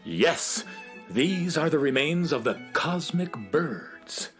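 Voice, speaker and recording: An actor playing a bumbling, politely reverent temple curator in a children's radio comedy, halting and deferential. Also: medium pitch, very animated, fairly bright tone, faint music behind.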